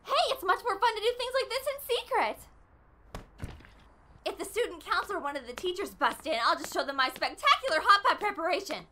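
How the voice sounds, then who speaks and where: Bossy anime schoolgirl: bright, forceful, exuberant, animated delivery over noticeable noise. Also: very high voice, a little fast, heavily acted. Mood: confident. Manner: emphatic, declarative, self-assured. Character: bossy, brash, exuberant teenage schoolgirl club leader. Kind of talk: anime fan dub acting. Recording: amateur fan dub with background noise.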